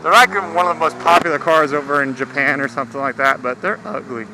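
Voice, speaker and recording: Young American man, high-pitched, over engine noise. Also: quick pace. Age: young adult. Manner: laid-back, slangy, swearing. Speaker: motorcycle vlogger. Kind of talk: casual rambling vlog chat. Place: outdoors, riding a motorcycle. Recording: engine, road and traffic noise. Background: engine and vehicle noise.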